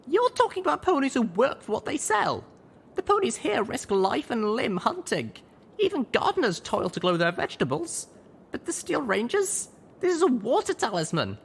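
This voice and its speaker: Feisty young girl pony for a story, way up high, stubborn, bit of noise behind. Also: wildly swooping pitch, moderate pace, vocal fry, heavily acted. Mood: indignant. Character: fiery young mare heroine, small, stubborn and righteous.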